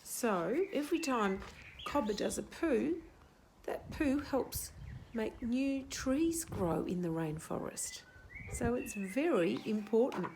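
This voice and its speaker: A woman's voice: on the high side, expressive, slow pace, creaky, darkish tone, a little hiss or hum, low-quality recording. A woman reading a picture book aloud for young children, explaining simply and asking questions as she goes. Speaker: children's storyteller.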